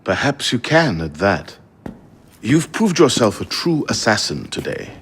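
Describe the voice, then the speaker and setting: An actor playing a formal, solemn leader of an assassin council in a video game cutscene. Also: male voice, on the low side, very animated, a little slow, slight background noise.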